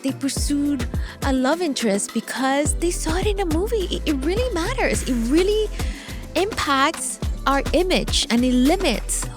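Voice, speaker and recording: A woman's voice: high-pitched, moderate pace, over music. A middle-aged woman from Venezuela, a native Spanish speaker, telling her story animatedly as an interview guest. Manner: animated storytelling with frequent laughs. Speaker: guest, producer and CEO. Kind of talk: interview conversation.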